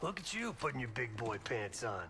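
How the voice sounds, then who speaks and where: An actor playing a gruff older Cold War operative in a video game, gravelly, blunt, salty and wry. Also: male voice, medium pitch, big pitch swings, normal speed. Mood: blunt, sardonic. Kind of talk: cinematic game dialogue.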